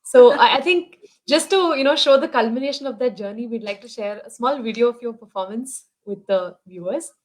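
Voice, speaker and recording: A woman interviewing a guest, asking polite, measured questions. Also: fairly high pitch. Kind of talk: online interview.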